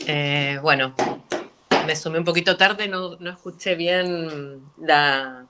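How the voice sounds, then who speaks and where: A woman from Argentina, introducing herself as a professor on a video call with relaxed fillers. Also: low-pitched, slow pace, a little hiss or hum. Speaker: public health professor.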